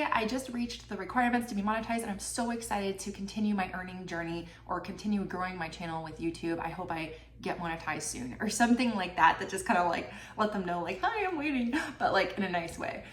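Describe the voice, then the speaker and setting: A woman's voice: mid-range voice, a little fast, hardly any pauses. A young woman vlogging casually about her channel earnings, chatty and full of "like", sounding stuffed up from a cold. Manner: chatty, peppered with "like" and "you know". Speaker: YouTuber sharing channel earnings. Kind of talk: casual talking-head vlog. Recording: roomy, slightly distant mic, faint background hiss.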